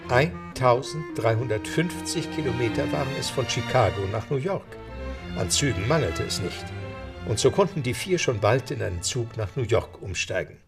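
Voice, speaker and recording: A man's voice: mid-range voice, expressive, normal speed, vocal fry, over music. A man narrating a scripted audio drama as storyteller.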